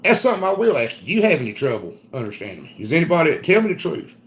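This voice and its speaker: A man's voice: on the high side, very animated, rapid-fire. A man with a thick Southern accent from South Carolina, hosting a live stream in a rambling, folksy way and reading viewer comments aloud. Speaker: homestead livestream host. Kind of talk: casual live-stream chat.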